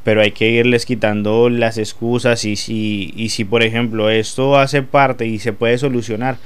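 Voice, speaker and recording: A man's voice: mid-range voice, level tone, moderate pace, clean tone. A young adult man from Colombia with a Colombian Spanish accent, talking as a sports pundit in a casual panel, hesitant with many fillers.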